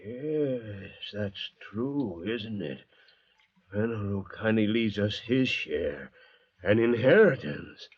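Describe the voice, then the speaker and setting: Male, bitter thirst-mad castaway. Slow, rough-edged delivery, coldly polite threat, 1940s radio melodrama. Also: fairly low pitch, lively intonation, full character voice. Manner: mock-courteous, menacing, deliberate. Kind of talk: 1940s radio drama acting. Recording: muffled restored 1940s radio recording.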